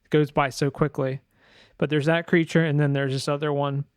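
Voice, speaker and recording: A man's voice: a little high, flat delivery, a little fast, clean tone, dry recording. A man talking casually through a screen-share tutorial as a sound designer, informal and loose, thinking aloud as he goes.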